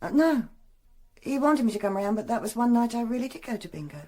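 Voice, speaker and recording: Defiant woman, very animated pitch. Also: fairly quick. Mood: bitter, brittle. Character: defiant, blunt working-class mother, bitter and brittle. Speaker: actor in a radio drama. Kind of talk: emotive radio drama acting.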